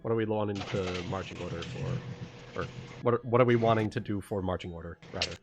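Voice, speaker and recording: A man's voice: deep voice, a little fast, background noise. A man acting as game master, narrating casually and often repeating or rephrasing himself as he goes.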